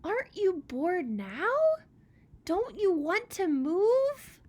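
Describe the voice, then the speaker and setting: A woman voicing a curious, gently boastful tall pine tree, expressive and questioning, in a picture book read-aloud. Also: very high voice, takes it slowly, pitched above the performer's own voice, full character voice. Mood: breezy, boastful.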